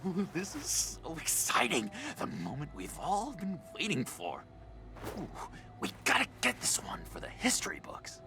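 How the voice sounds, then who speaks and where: A man playing a giddy, insecure, needy young villain in a video game, with a theatrical, halting, stammering delivery. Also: a little high, wildly swooping pitch, creaky, music in the background, heavily acted. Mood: giddy excitement. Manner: theatrical, halting, stammering, swinging from glee to confiding.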